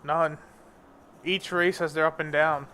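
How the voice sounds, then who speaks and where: A man's voice: on the high side, fairly even intonation, a little slow, sharp tone, a little hiss or hum. A young man who grew up in India, chatting casually and bluntly in informal slang. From born in America, grew up in India. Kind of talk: casual chat. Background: music.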